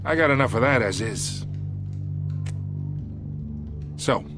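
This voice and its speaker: A man acts out a smug video game mob boss. His pitch is mid-range but swings widely as he swaggers through slangy, folksy threats. Music plays clearly behind him. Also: expressive, a little fast, lightly acted. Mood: persuasive, boastful. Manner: slangy, swaggering, mock-polite then threatening.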